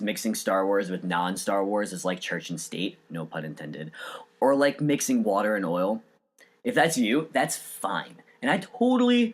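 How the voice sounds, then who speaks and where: A man hosting a solo podcast, reading scripted narration in an earnest, enthusiastic way. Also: mid-range voice. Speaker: podcast host.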